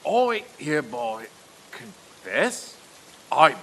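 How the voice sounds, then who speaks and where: Deferential male coachman, acted by a man: sharp tone, wildly swinging intonation, halting, radio-theatre style. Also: on the high side, very animated, slight background noise, exaggerated voice, rough recording. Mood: puzzled. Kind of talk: audio theatre acting.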